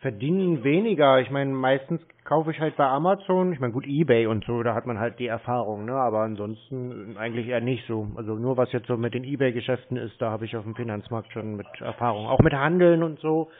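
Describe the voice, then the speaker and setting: A man with a German accent chatting casually on the phone, asking naive questions in a deadpan way. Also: mid-range voice, fairly quick, few pauses. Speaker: prank caller baiting phone scammers. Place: phone call on speakerphone. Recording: narrow, tinny phone-call sound.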